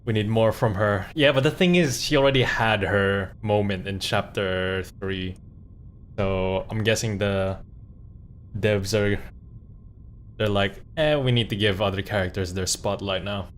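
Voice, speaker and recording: Young male streamer, lowish voice, chatty and loose. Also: a little slow, slight background noise. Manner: chatty, laughs often. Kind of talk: casual gameplay commentary.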